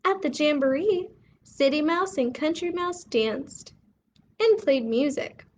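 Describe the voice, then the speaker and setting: Woman, high-pitched, unhurried pace, expressive children's story reader, faint background noise. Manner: expressive, addresses child listeners with questions. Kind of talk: bedtime story read-aloud for young children.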